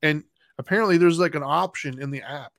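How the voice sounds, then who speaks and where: A man's voice: on the high side, fairly quick, creaky, fairly bright tone, no echo, clean studio sound. A man talking thoughtfully in a casual podcast conversation, often searching for words with fillers and restarts. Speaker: podcast co-host.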